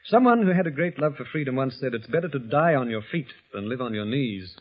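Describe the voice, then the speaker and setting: A man plays a captive English resistance leader in an old radio drama. He is sardonic and defiant, with an animated, mid-pitched voice on a muffled vintage recording. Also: fast, a little hiss or hum, natural voice. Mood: calm scorn. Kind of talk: 1940s radio acting.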